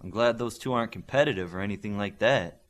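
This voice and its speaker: A man's voice: on the low side, fast talker, close to an everyday voice. A man voicing a dry, playful, sword-trained young superhero girl in an audiobook.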